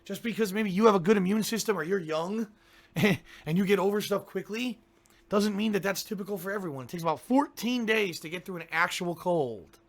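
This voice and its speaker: Streamer, male, down with a cold: hoarse, congested, gravelly, grumbling chat with throat-clearing. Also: high voice, brisk. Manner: grumbling, ranting, keeps clearing his throat. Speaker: game streamer. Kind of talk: casual pre-stream chat to viewers.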